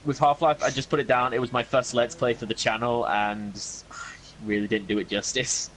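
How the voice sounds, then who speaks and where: A young British man with a middle-pitched voice talking loosely over a game stream. He rambles and hedges as he goes, easygoing and unhurried. A faint hum of room sound sits behind him. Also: poor audio. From Britain. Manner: relaxed, rambling, hedges with "like" and "I mean". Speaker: co-host of gaming channel. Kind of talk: casual gaming chat.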